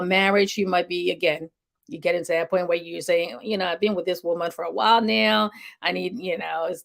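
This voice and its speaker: A woman's voice: lowish voice, gravelly. A middle-aged woman hosting a health show, talking informally and rambling, with frequent "okay" and "you know" asides. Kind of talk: informal health talk to an audience.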